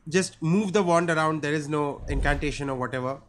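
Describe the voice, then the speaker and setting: A man's voice: on the high side, fairly quick, fairly bright tone, slight background noise. A young man with a sore throat, casually commenting on a game he is playing, with wry jokes, into a studio mic in a small room. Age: young adult. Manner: chatty, joking, wry asides. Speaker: gameplay streamer. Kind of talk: casual let's-play commentary. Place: small cluttered room indoors. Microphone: studio mic on arm, headphones. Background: game music.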